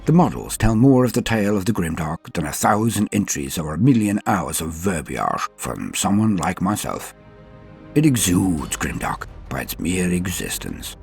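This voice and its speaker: Deep, gravelly, dramatic male narrator. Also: very animated, normal speed, soft background music. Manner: theatrical, formal and florid wording. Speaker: host of a Warhammer lore show. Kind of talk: scripted lore narration.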